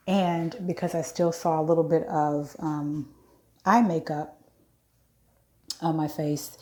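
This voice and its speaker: A woman's voice: sits low, mellow. A woman in her late forties casually walking viewers through her skincare routine, chatty and frank, correcting herself as she goes. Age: 40s. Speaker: beauty vlogger.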